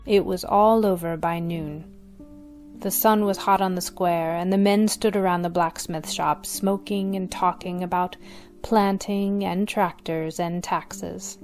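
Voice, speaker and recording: A woman narrating a radio drama in a solemn, deliberate manner. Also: fairly low pitch, fairly even intonation, a little mellow, soft background music.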